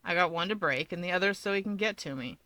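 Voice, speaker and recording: Woman as sardonic young male story character, deadpan drawl, bright timbre, dry recording. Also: on the low side, fast talker, no echo. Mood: matter-of-fact. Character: dry, grumbling, sardonic young man, aloof.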